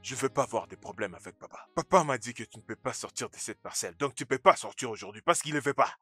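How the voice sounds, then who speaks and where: A man's voice: medium pitch, expressive, quick pace, fairly bright tone, breathy edge, no echo, faint music behind. A young man playing a firm, obedient older brother, bossy and insistent, in a dubbed melodrama. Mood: firm, cautioning.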